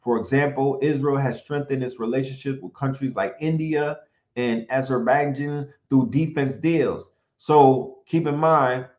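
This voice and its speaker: A man speaking in an animated, emphatic commentary, often throwing in rhetorical questions and sometimes reading from notes. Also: medium pitch. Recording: roomy, dull lo-fi sound.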